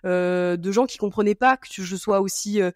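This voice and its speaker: A woman's voice: medium pitch, normal speed, no room sound. French woman hosting a podcast, talks in loops w lots of fillers, super dry close mic. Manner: rambling, many fillers and restarts. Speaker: podcast host. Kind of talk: casual chat.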